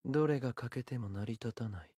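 An actor playing an arrogant, seductive serpent god in a game, speaking in a haughty, deliberate, condescending way. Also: male voice, medium pitch, mellow, husky, no room sound, clean studio sound, natural voice. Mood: lofty, imperious. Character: arrogant, seductive serpent god who toys with human desires. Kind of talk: Japanese game character voice lines.

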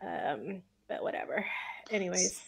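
A woman with a slightly low voice roleplays a ship's crew member over a video call. Her delivery is dry and resigned, improvised and grumbling. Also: a little slow, gravelly. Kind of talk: in-character tabletop roleplay, improvised. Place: indoors, group video call.

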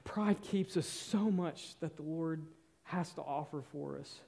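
A young man preaching a sermon on a church stage, earnest, shifting between loud emphasis and soft, near-whispered moments. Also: on the high side, unhurried, gravelly. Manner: paces the stage gesturing with both hands. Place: church stage in a large hall.

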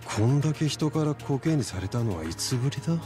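An actor playing a flamboyant, menacing young yakuza boss in a video game, sneering and taunting in rough gangster speech. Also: male voice, mid-range voice, animated, brisk, over music, close to an everyday voice. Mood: cold menace. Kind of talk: video game cutscene acting.

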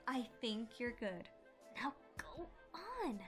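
Woman as calm kind pony princess, highish, unhurried, music behind, cartoon. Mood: teasing.

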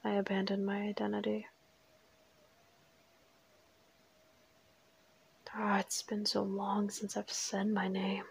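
A woman performs a crazed female assassin holding a captive in an audio roleplay. Her voice is whispery and husky with a gravelly fry. She keeps a narrow, controlled pitch, taunting and threatening without any hurry. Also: monotone. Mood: wistful, withholding. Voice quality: breathy, whispery. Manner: taunting, unhurried, coolly threatening. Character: crazed, teasing, menacing female assassin kidnapper.

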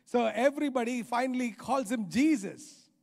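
A middle-aged male preacher with an Indian accent delivers a sermon in a high voice. He is emphatic and repeats phrases to drive them home. His handheld microphone carries through a large, reverberant auditorium. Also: moderate pace, creaky, a little mellow. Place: large church auditorium stage. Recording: reverberant hall sound.

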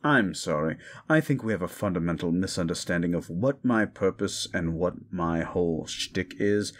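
A man playing a condescending, sardonic ancient demon in an audio roleplay, gravelly, with theatrical, mocking delivery. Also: low voice. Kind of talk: audio roleplay monologue addressed to the listener.

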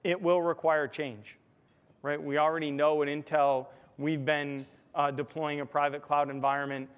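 A man's voice: fairly high pitch, little pitch movement, normal speed, clear, resonant tone. An American man giving a conversational conference keynote, explaining points and often checking in with "right?", recorded on a lapel mic in a large ballroom. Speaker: technology executive giving a keynote. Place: large hotel ballroom stage, indoors. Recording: reverberant hall, amplified stage sound.

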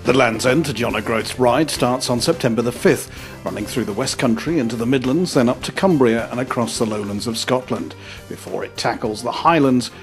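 Man, news voice-over, measured and clear, mid pitch, loud music underneath. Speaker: news video narrator.

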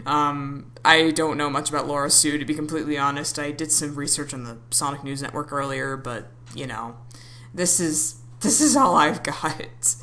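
A woman's voice: low-pitched, pushed and bright. A woman speaking casually as an amateur voice actor introducing an audition, with a self-deprecating, apologetic manner. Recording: clearly noisy home recording.

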